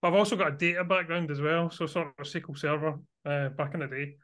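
A man from Scotland with a Scottish accent, chatting casually as an interview guest, relaxed and quick to chuckle. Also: fairly high pitch.

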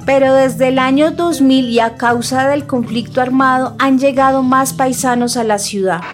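A woman's voice: high-pitched, steady tone, normal speed, over music. A woman in her thirties with a Bogotá Colombian accent, a native Huitoto speaker, narrating a scripted personal audio documentary. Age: 30s. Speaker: narrator and host.

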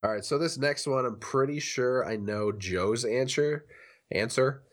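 A man's voice: medium pitch, measured pace. A man co-hosting a casual podcast, playfully putting questions to his friends. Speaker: podcast co-host asking the questions. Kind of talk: casual chat.

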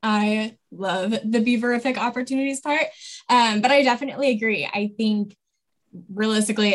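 Woman podcast host, upbeat casual delivery, mid pitch. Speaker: podcast host, admissions advisor.

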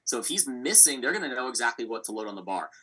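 A man hosting a casual sports podcast, talking through competitors with a steady, analytical manner and frequent fillers. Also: mid-range voice, quick pace. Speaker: podcast host.

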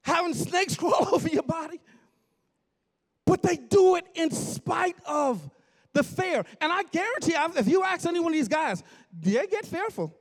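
A man in his forties from the Bahamas, preaching to his church in a storytelling style, joking with the congregation and now and then breaking into shouts. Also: very high-pitched, moderate pace. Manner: animated, jokes with congregation, bursts into shouts. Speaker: pastor. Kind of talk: storytelling sermon. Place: church sanctuary.

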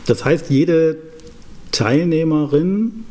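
Man, interviewer, relaxed, informal, mellow tone. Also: a little high, slow pace. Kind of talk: casual interview questions.

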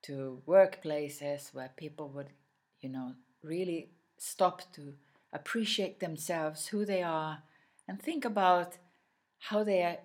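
A native Swedish-speaking woman gives an unscripted motivational talk, addressing the listener directly. Her voice sits a little low, and she speaks very slowly. She is reflective and often pauses partway through a phrase. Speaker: inspirational speaker.

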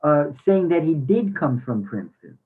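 A man offering measured, somewhat hesitant critical feedback in a discussion over a tinny connection. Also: fairly high pitch, sing-song, breathy edge. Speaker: workshop participant giving critique.

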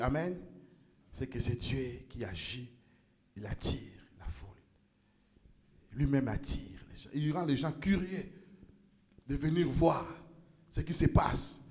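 A male pastor preaching a sermon in a church, impassioned, rising to shouts and stumbling over repeated words. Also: a little high, measured pace, long pauses, roomy sound.

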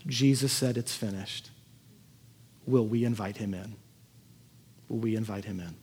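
A man's voice: on the low side, measured pace, a little mellow, a little hiss or hum. A man preaching as a pastor in a conversational sermon, earnest and given to emphatic repetition. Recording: echoey hall with long reverb.

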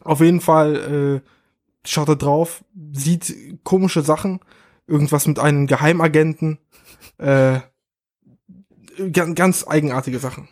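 A man's voice: a little high, steady tone, a little slow, pauses often, a little mellow. A man co-hosting a podcast, improvising a story with many hesitations. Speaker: podcast co-host.

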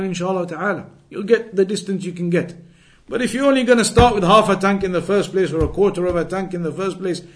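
A man with a British accent giving an Islamic lesson as a teacher, repeating phrases for emphasis and explaining with everyday analogies. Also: on the high side. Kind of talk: religious lecture.

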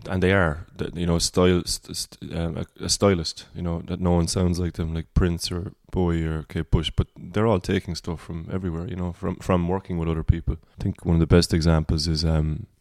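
A singer-songwriter from Cork with a Cork Irish accent and a baritone voice, speaking as a guest in a relaxed podcast conversation and thinking aloud as he talks. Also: a little fast, hardly any pauses, no room sound, clean studio sound. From Cork, Ireland. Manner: reflective, rambling.

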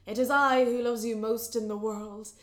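A woman's voice: a little high, fairly even intonation, moderate pace, reverberant, lightly acted. A woman voicing a proud young Italian man, a rival musician, speaking in grand, formal, flowery lines. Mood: passionate.

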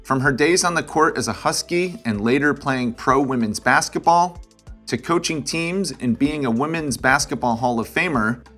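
A man's voice: mid-range voice, moderate pace. Connecticut man hosting an interview, music faint behind. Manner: polished, upbeat announcer-style intro, then relaxed questions. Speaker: podcast host. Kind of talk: interview host with scripted intro.

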